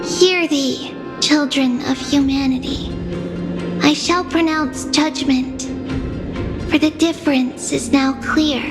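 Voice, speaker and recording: A woman's voice: sits high, fairly even intonation, slow. A woman playing a solemn oracle who makes grand, formal proclamations in a fantasy game. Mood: grave, proclaiming. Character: solemn, ceremonial female oracle voicing a Titan's judgment. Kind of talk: dramatic fantasy game voice acting. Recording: long reverb, music behind.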